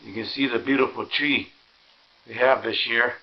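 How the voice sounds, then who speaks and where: A tired, sore man gives a rambling, halting, casual narration as he hosts a home vlog. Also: mid-range voice, steady tone, vocal fry, muffled recording. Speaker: vlog host. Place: inside a house, walking through rooms.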